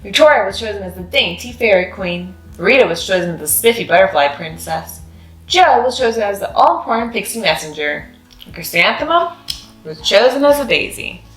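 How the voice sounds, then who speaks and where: A woman's voice: mid-range voice, animated, brisk, fairly bright tone. A woman narrating a children's picture book as a read-aloud storyteller. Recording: roomy echo with faint music.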